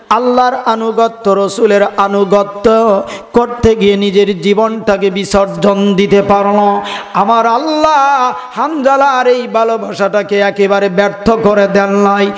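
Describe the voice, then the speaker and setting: A man preaching a melodic, half-chanted storytelling sermon with impassioned, drawn-out calls to his listeners. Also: high voice. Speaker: Islamic preacher. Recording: long hall reverb, loud PA sound.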